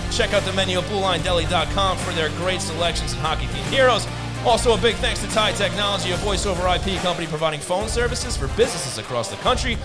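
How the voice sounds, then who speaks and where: A man with a high-pitched voice hosts a live sports show. He talks like an emcee working a room. Loud music plays behind him in a bar. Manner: addresses audience like an emcee. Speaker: sports talk show host. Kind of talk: live hockey show hosting with sponsor reads.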